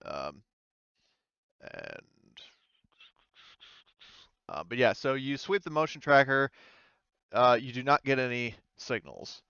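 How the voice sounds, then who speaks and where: Guy game mastering a tabletop rpg, clean smooth voice, dry and kinda muffled recording. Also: mid-range voice, very animated, slow pace, pauses often, pushed and bright, no echo. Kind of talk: running a tabletop roleplaying game, casual improvised narration.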